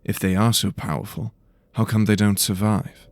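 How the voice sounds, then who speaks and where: A man's voice: on the low side, level tone, moderate pace, no echo, close to an everyday voice. A man voicing a shaky, apologetic young ward of a lord in a fantasy audio drama, hesitant and timid. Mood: curious, uneasy.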